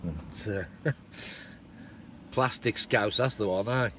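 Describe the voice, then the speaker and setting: A man's voice: lowish voice, very slow, background noise. A man with a North Welsh accent tinged with Shropshire, chatting casually and rambling on a live stream outdoors, swearing and chuckling as he goes. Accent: North Welsh with a hint of Shropshire. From North Wales.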